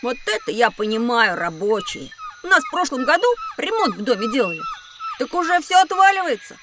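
A woman's voice: high-pitched, sing-song, brisk, noisy background. A woman playing a cheeky, skeptical, inquisitive young schoolboy in a children's educational audio drama. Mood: curious, frank. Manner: blunt, inquisitive.

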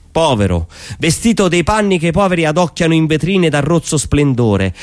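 A man giving a theatrical poetry recitation, solemn and declamatory, with deliberate pauses between phrases. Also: mid-range voice, darkish tone. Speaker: stage performer reciting poetry.

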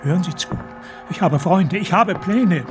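A male actor as an anxious husband in a historical radio play. His voice is high for a man and urgently pleading. Music runs clearly behind him. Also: moderate pace, lightly acted.

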